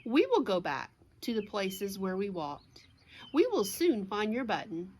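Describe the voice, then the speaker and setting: Woman voicing a helpful storybook frog, lively. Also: medium pitch, measured pace, a little hiss or hum. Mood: reassuring. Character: helpful, reassuring male frog friend in children's storybook.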